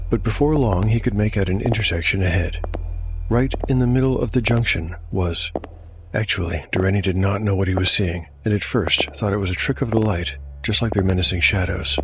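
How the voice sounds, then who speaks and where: A man giving measured, dramatic, literary narration of a scripted dark fantasy tale. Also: lowish voice. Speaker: narrator and host. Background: music.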